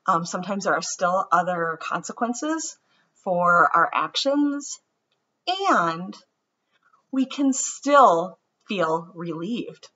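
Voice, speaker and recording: Woman storybook reader, expressive and gentle, bright voice, dull lo-fi recording. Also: on the low side, slow pace. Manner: expressive storytelling, gentle and reflective when talking afterward. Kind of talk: children's read-aloud.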